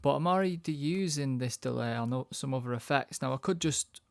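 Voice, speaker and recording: Low-voiced man with a gravelly, creaky edge. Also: fairly quick, no room sound. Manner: informal, thinking aloud as he works. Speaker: music production tutor. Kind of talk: casual software tutorial narration.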